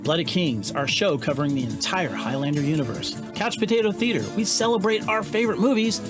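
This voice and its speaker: Higher-pitched man announcing over music. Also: fairly quick. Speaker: podcast network announcer. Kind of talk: scripted promotional read.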